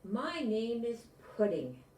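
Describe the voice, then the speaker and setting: A polite snail newcomer from a picture book, acted by a woman reader. It takes its time over every word, deliberate and unhurried. The sound is echoey and slightly distant. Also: fairly high pitch, big pitch swings, a little mellow, voice pushed up. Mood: friendly. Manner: slow, deliberate, pausing. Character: slow, polite snail newcomer from a faraway garden. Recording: echoey room, distant mic.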